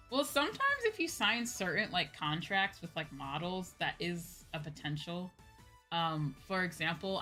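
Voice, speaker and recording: Bright-voiced woman livestreamer, chatting casually. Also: low-pitched. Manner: animated, informal, chatty, often seeks agreement with "right?". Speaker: VTuber streamer chatting with viewers. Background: background music.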